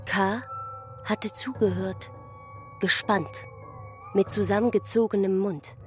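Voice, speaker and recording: A woman reading scripted literary narration in measured, terse phrases. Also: medium pitch, steady tone, faint music behind, lightly acted.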